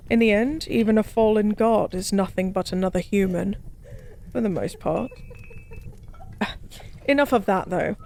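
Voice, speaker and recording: Woman acting a fallen goddess, very slow, mellow, soft-spoken, wistful drunken rambling, audio drama. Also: medium pitch, creaky. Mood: weary, wistful. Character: lonely drunk fallen goddess, wistful, weary, secretive stranger.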